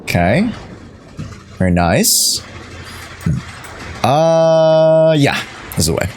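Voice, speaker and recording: A man's voice: on the high side, very animated, extremely slow, a little mellow, a little hiss or hum. A young man streaming a game with casual, playful, chatty commentary. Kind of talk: casual live gaming commentary.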